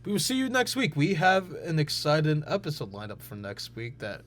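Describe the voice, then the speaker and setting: A man's voice: on the high side, animated, moderate pace, a bit bright. Assertive young man debating sports. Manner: assertive, argues in bursts. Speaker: podcast co-host.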